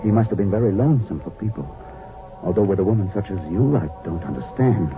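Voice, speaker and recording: An actor playing a commanding but humane spaceship captain in a 1950s science fiction radio drama. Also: male voice, fairly low pitch, lively intonation, fast talker, soft background music, lightly acted. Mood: reflective. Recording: tinny old radio broadcast.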